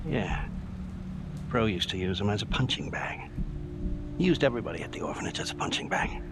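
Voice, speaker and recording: A man's voice: on the low side, expressive, brisk, noisy background, lightly acted. A man playing a cocky, foul-mouthed young suspect with streetwise slang and a defiant, easily rattled manner in a dramatic video game. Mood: bitter.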